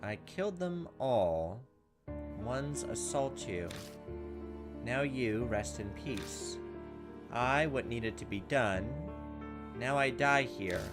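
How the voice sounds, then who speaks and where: A man voicing a dead person's last note in a game, grim, slow and halting. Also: mid-range voice, expressive. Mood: grim solemn. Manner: slow, halting, fragmented reading. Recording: music behind the voice.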